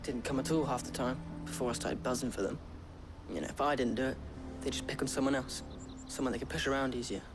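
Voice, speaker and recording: A streetwise urchin lad voiced by a male actor, defensive and worn by poverty. His voice is mid-pitched, with clear game score underneath. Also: fairly even intonation, quick pace, music in the background, natural voice. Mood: ashamed. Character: defensive, streetwise poor urchin boy pickpocket. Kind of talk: video game voice acting.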